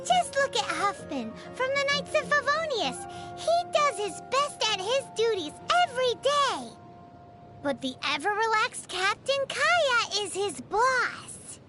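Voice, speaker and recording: A woman voicing a tiny, perky, childlike female companion with a squeaky voice in a video game, music behind her. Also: sing-song, measured pace, heavily acted. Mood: curious, earnest. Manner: refers to self in third person, exclamatory. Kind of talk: video game character dialogue.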